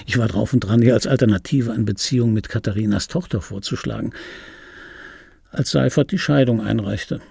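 A middle-aged man with a mellow, gravelly voice recorded close and dry. He muses aloud as a wry, world-weary dubbing actor in a radio play. His delivery is laconic and intimate, like private reminiscing. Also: mid-range voice, normal speed, no echo. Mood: wry, reflective. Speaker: radio play actor, first-person narrator.

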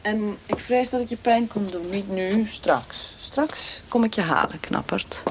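An actress in a radio drama playing a cold, mocking torturer for a military regime, speaking with deliberate menace. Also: female voice, medium pitch, noisy background, close to an everyday voice. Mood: taunting, menacing.

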